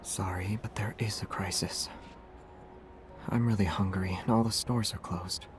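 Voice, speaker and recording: A man playing a calm young monster hunter in a video game, teasing in an understated, deadpan way. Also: fairly low pitch, measured pace, background noise, natural voice.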